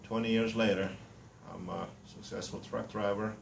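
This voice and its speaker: A deep-voiced Romanian man in his fifties speaks in blunt, halting English, filling pauses with fillers as he boasts about himself. The room around him is echoey, with faint ambient noise underneath. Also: steady tone, creaky. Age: 50s. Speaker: reality show applicant. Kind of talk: casual self-introduction to camera.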